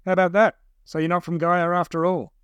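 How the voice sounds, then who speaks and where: A man's voice: high-pitched, level tone, brisk, a bit bright, clean tone, no room sound, studio quality. A man voicing a suspicious male gang boss in an audiobook, giving terse orders in a sceptical way. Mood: curt, commanding. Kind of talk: dramatised audiobook dialogue.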